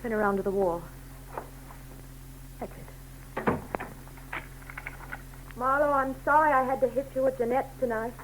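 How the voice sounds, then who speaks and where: A woman's voice: high voice, unhurried, pauses often. A woman playing a cool, scheming femme fatale in a 1940s radio crime drama. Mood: tense, commanding. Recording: noisy old radio recording.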